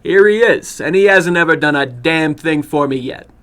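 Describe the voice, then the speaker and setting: A man's voice: fairly high pitch, normal speed, fairly bright tone, pitched above the performer's own voice, close to an everyday voice. A man voicing a cocky, stubborn young man in a horror story, casual and insistent. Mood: cocky, nonchalant. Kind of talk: dramatic horror story reading.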